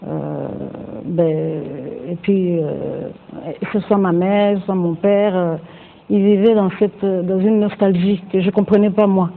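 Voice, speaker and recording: A woman from Congo answering interview questions hesitantly, often pausing on fillers. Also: medium pitch, fairly even intonation, creaky. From Democratic Republic of the Congo. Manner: hesitant, many fillers and restarts. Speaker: panel guest. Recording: tinny phone-like sound with faint noise.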